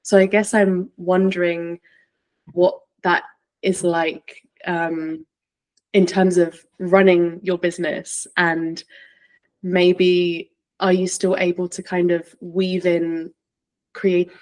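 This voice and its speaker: Woman podcast host, casual chatty interview, slightly low voice, pretty even tone. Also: slow pace. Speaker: podcast host, yoga teacher.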